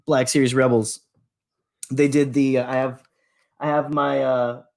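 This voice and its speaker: A man's voice: medium pitch, level tone, unhurried, gravelly, a little mellow. A man co-hosting a fan podcast, chatting casually in a rambling, jokey way. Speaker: podcast co-host.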